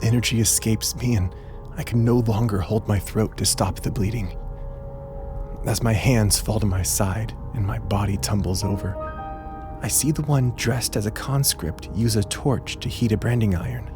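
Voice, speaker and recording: A man's voice: lowish voice, normal speed, natural voice. An actor playing a frightened, dying prisoner who recounts his last moments in a strained, dramatic first-person narration over music. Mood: fading.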